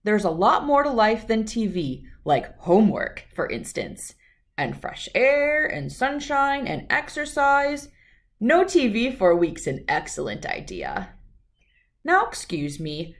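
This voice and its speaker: A woman's voice: medium pitch, very animated, a little slow. Woman voicing a blustery storybook papa bear. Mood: pompous. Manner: blustery, emphatic. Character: blustery, TV-loving father bear of a family.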